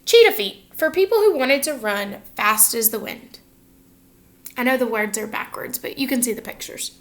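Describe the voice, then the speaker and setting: A woman with a high voice reads a children's picture book aloud as a teacher would. Her delivery is expressive and instructive, with pauses to check that young listeners follow along. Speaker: teacher reading to students.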